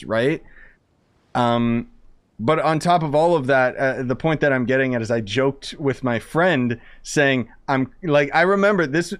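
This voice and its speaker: A man giving casual gameplay commentary as a streamer, slangy and opinionated, rambling as he goes. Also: medium pitch. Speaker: gaming streamer.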